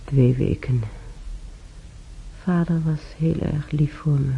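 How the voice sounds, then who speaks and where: An older woman acting an ailing, weary old woman reminiscing alone in a radio drama, halting and sighing, with a breathy voice. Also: low-pitched, fairly even intonation, exaggerated voice. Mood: troubled. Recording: dull radio recording, faint noise.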